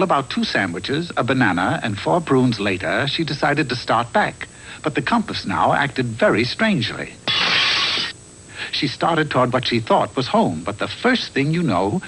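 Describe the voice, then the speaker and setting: A man's voice: medium pitch, fairly bright tone, a little hiss or hum, poor audio. A man narrating a comic fairy tale in a wry, theatrical storyteller's manner, from a script.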